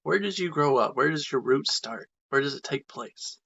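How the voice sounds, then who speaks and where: Gravelly upbeat podcast host, man. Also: medium pitch, normal speed, dull audio. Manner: lively, chatty, eager. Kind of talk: upbeat interview hosting.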